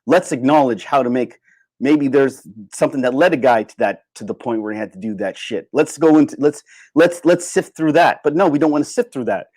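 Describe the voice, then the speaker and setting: A man's voice: medium pitch, expressive, brisk, a little mellow, dry recording. A man hosting a casual, opinionated livestream monologue, speaking informally and emphatically, repeating phrases to drive his points home. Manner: informal, with occasional swearing. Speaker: livestream host.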